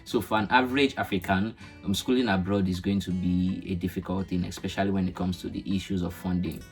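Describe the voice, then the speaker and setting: A young man with a Nigerian accent and a very low voice talks as a guest in a relaxed chat. He explains his points earnestly, one step at a time. Light music plays faintly behind him. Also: fast. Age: young adult. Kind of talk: casual chat.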